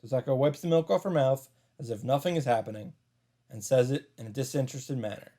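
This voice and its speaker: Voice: mid-range voice, quick pace, mellow. A commentator reading a visual novel story aloud and reacting to it. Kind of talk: narrated visual novel read-through with reactions. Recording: music under the voice. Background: game music.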